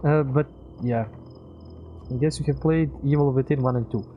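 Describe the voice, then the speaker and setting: A man's voice: mid-range voice, steady tone, normal speed, highs cut off, a little hiss or hum. A man doing casual live game commentary as a streamer, chatting with viewers and rambling as he thinks aloud.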